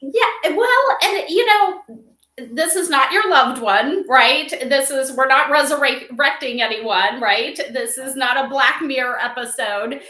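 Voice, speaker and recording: A woman explaining things casually as a guest in a livestream interview, animated and given to acting out example dialogue. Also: sits high, slow pace. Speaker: interview guest, company advisor on AI memorial companions. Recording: roomy, echoing sound.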